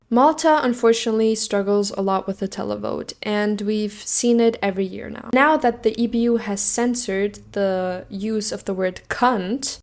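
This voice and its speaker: Emphatic young woman, informal and opinionated. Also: mid-range voice. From Austria. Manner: emphatic, informal, jokey, swears freely. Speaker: video host giving song contest predictions. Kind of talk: casual opinionated commentary to camera.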